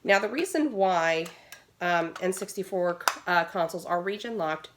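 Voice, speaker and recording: Nasal, low-pitched American woman vlogger. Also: normal speed. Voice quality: nasal, congested. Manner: informal, rambling with asides. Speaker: gaming video host. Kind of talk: casual tutorial vlog. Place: small room at home, indoors. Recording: noisy home recording with handling clicks.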